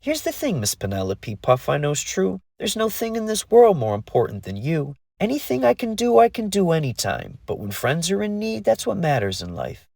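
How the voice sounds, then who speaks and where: A man's voice: moderate pace, vocal fry, hardly any pauses, no room sound, clean studio sound, full character voice. A man voicing a cheerful, caring young female fairy in a rhyming storybook, in a bubbly, raised voice. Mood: comforting. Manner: bubbly, excited, high-pitched. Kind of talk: storybook read-along voice.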